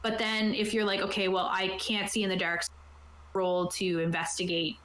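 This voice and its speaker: A woman's voice: mid-range voice, level tone, moderate pace, fairly bright tone. A woman casually explaining game rules on a tabletop stream, reading aloud and then putting it in informal plain terms. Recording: roomy, echoey sound.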